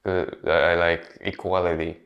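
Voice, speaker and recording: Man, Japanese accent, very deep voice, casual monologue, halting with fillers. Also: little pitch movement, muffled recording. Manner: conversational, many fillers and restarts. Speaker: YouTuber. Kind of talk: casual talk to camera.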